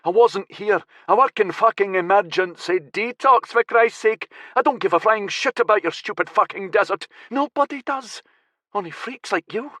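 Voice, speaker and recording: A man voicing a terrified, furious young man held captive, shouting and swearing in panic. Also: fairly high pitch, animated, normal speed, dry recording, clean studio sound, pitched above the performer's own voice. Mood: panicked, furious.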